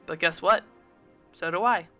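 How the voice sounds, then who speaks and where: A woman's voice: fairly low pitch, a little slow, sharp tone, soft background music. A woman voicing a friendly man, an eager and teasing old best friend, in an audiobook. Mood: teasing, astonished. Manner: teasing, upbeat.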